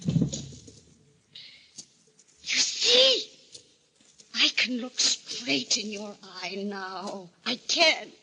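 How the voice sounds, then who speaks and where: An actress playing an anguished, deranged and vengeful wife in a theatrical, taunting 1940s radio drama manner. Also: female voice, a little high, sing-song, extremely slow, pauses often, pushed and bright, slight background noise, exaggerated voice, rough recording. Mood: hysterical rage.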